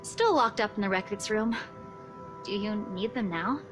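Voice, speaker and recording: A woman's voice: mid-range voice, expressive, moderate pace, faint music behind, lightly acted. A woman playing an earnest, anxious young office worker in a visual novel game, pleading and careful, with hesitant delivery. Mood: cautious. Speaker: video game voice actor. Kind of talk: dramatic visual novel dialogue.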